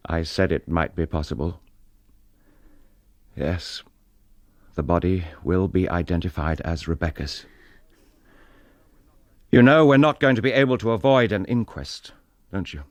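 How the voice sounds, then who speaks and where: An actor playing a tormented English gentleman in a radio drama, speaking with intense, bitter, clipped delivery. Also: deep voice, a little slow, long pauses, no room sound. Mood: tense, subdued. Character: tormented English gentleman husband confessing to killing his wife.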